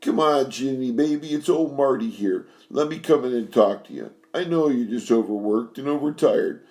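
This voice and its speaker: A man voicing a chubby older male manager who wheedles and then yells. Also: mid-range voice, expressive, normal speed, voice pushed up, close to an everyday voice. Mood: coaxing.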